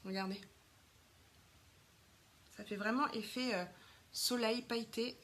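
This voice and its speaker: Brief: French woman, 30s, bright slightly high voice, casual chatty live-stream host full of fillers. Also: steady tone, slow. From France. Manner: chatty, lots of fillers, greets viewers while doing makeup.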